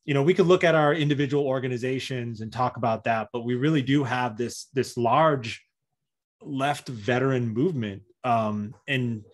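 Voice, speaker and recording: A man moderating an online panel, friendly and halting, with many fillers as he hands over to the next speaker. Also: medium pitch.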